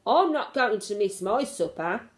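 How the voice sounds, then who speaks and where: A greedy, sulky little mouse boy from a children's storybook, put on by a woman reader. The lines are blunt and demanding, with animated intonation. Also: mid-range voice, measured pace, close to an everyday voice. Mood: greedy, insistent.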